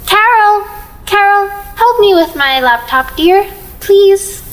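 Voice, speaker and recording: Woman putting on a very high, fussy old lady. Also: animated, takes it slowly, exaggerated voice, poor audio. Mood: fussy pleading. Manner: pleading, endearments, fluttery. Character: fussy, helpless old woman baffled by technology. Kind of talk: comic audio play acting.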